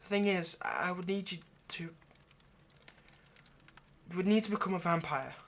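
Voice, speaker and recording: A man's voice: sits high, moderate pace, gravelly, slight background noise. A man playing an earnest, lovestruck vampire in an intimate roleplay monologue, speaking hesitantly and persuasively. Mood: hesitant, reassuring. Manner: hesitant, stammering, gently persuasive. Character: earnest, lovestruck male vampire coaxing a partner.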